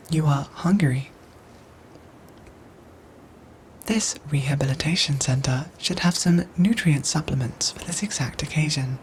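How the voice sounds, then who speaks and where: A woman voicing a gentle, dutiful android soldier in an ASMR roleplay, with soft breathy whispers and formal, measured phrasing. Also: low-pitched, a little hiss or hum, natural voice. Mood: attentive, calm. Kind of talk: ASMR roleplay audio drama.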